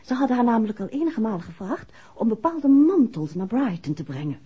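An actress playing a composed, caring detective's wife in a 1960s radio play, with a husky voice. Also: female voice, fairly high pitch, very animated, a little fast, dark tone, heavily acted. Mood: explaining. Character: composed, caring detective's wife recounting events to her husband. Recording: dull, muffled 1960s radio recording.

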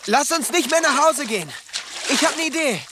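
An actor playing a dreamy, stubborn teenage boy in a radio drama, speaking softly and intently. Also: very high voice, lively intonation, a little fast, noisy background. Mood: stubborn, eager.

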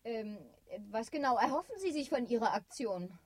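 A local radio reporter in a radio play, a woman with a high voice. She is polite and probing, with a professional interview delivery. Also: expressive, normal speed, no room sound.

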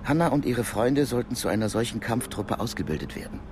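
An actor playing a calm, knowing Cold War intelligence contact in a naturalistic German radio drama, explaining things in a measured, matter-of-fact way. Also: male voice, mid-range voice, noisy background, lightly acted. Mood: grave, explanatory.

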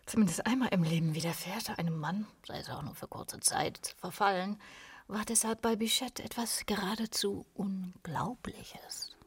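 A woman performing as a knowing storyteller in a literary radio play, theatrical and savouring, with a breathy voice that often drops toward a whisper. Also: mid-range voice, moderate pace. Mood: confiding. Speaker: radio drama narrator.

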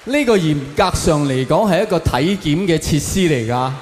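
A man with a Hong Kong Cantonese accent doing an animated, colloquial stand-up comedy routine on stage. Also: a little high, normal speed, soft background music. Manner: animated, colloquial, acting out exchanges. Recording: echoey hall with long reverb.

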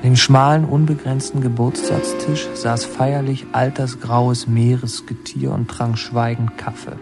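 A male actor plays a brooding young narrator in a literary radio drama. He speaks reflectively in a medium-pitched voice, phrasing deliberately over clearly audible music. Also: little pitch movement, close to an everyday voice. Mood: dreamlike, intense. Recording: prominent music under the voice.

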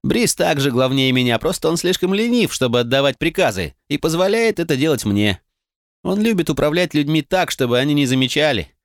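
A man's voice: on the high side, animated, fairly quick, dry recording, clean studio sound, pitched above the performer's own voice. A man voicing a modest, streetwise young man in an audiobook, talking in a self-deprecating, eager way. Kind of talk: audiobook character reading.

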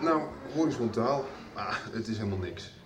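An actor playing a cocky, flippant young musician in a Dutch radio soap. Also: male voice, on the low side, lively intonation, normal speed, background noise, natural voice. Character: cocky young man, musician son of an artist mother. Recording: echoey hall, distant, with crowd.

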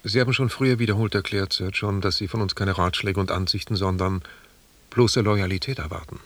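An actor playing a defiant, sarcastic expedition doctor in a German radio drama, pointed and challenging. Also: male voice, low-pitched, fairly even intonation, brisk, fairly bright tone.

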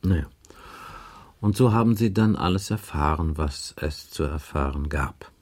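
An actor playing a probing, officious police inspector taking a statement in a radio crime drama, pressing insistently with each question. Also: male voice, very deep voice, lively intonation, unhurried, darkish tone, exaggerated voice. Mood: stern, methodical. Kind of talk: 1980s German radio crime drama acting.

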